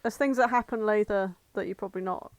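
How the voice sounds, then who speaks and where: A woman co-hosts a casual podcast at an average pitch. She is opinionated and teasing, bantering informally. Her voice comes through dry and close. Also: fast talker, dry recording. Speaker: podcast co-host. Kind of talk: casual chat.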